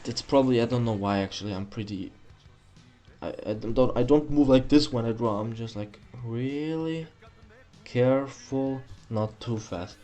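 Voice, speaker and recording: A young man with a German accent talks through a drawing tutorial in a casual, rambling way, often correcting himself and tossing in mild swearing. His voice sits at an ordinary mid pitch, picked up by a computer mic in a small room at home, with faint music underneath. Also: moderate pace, dark tone. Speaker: art tutorial host.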